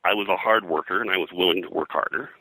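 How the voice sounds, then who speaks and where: An older American man reminiscing as an interview guest, in a halting, conversational way full of verbal filler. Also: sits low, sharp tone, dry recording, poor audio. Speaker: interview guest, veteran comics colorist.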